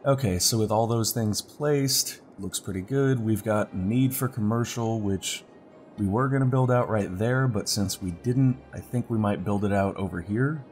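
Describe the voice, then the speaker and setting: A man with a very low voice and a stuffy, congested sound talks through his gameplay in a relaxed, thinking-aloud way, often repeating single words as he clicks. Clear background music plays under his voice the whole time. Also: normal speed. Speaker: let's play host. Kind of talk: casual gameplay commentary.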